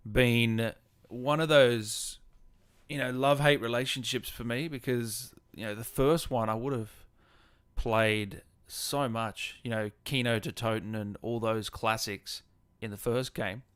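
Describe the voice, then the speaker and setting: A man's voice: medium pitch, steady tone, measured pace, a bit bright, smooth, no room sound, studio quality. A man hosting a live gaming stream, chatting casually and answering viewers in an informal, matey way. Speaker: live stream host and gaming content creator. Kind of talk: casual chat, reading and answering viewer comments.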